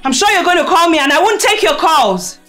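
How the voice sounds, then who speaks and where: A woman's voice: very high voice, lively intonation, a little fast, a bit bright, soft background music. An actress playing a cold, dismissive young girlfriend with a cutting, scornful manner.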